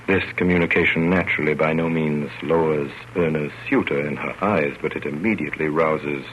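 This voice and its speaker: A fond, wry father narrates an old radio story in a very deep, measured, theatrical voice. The sound is muffled with faint noise. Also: a bit bright. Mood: wry narration.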